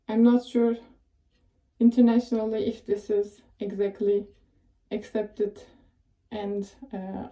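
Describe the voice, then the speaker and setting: Young Turkish-accented woman, very mellow tone. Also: fairly high pitch, rough recording. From Turkey. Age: young adult. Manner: rambling, self-correcting, chatty with frequent fillers. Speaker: tech professional giving advice. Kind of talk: casual advice vlog.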